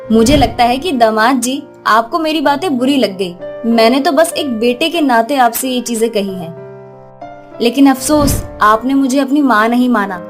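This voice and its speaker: A woman's voice: fairly high pitch, natural voice. A woman playing a manipulative middle-aged mother-in-law in a story, sugary and taunting, laying on guilt. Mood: wounded, wheedling.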